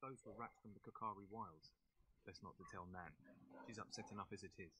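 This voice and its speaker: Man playing a dry wry knight in a fantasy game, talks fast, loud noise behind. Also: on the low side, natural voice.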